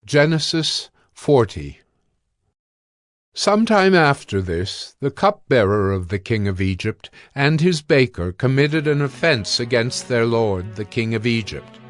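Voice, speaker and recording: A male narrator with a medium-pitched voice reads scripture. His delivery is solemn and measured, with music playing underneath. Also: big pitch swings, no echo, studio quality.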